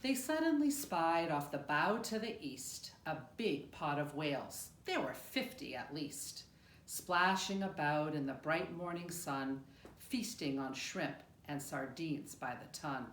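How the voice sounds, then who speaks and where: A woman reading a rhyming picture book aloud in an expressive, measured storytelling manner with deliberate pauses. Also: sits low. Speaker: storybook reader. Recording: roomy, echoing room sound.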